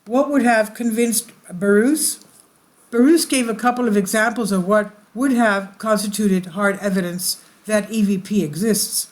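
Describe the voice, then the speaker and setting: A woman's voice: medium pitch, a little slow. A woman hosting her channel, reading an article aloud and often stumbling and correcting herself mid-sentence. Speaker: channel host.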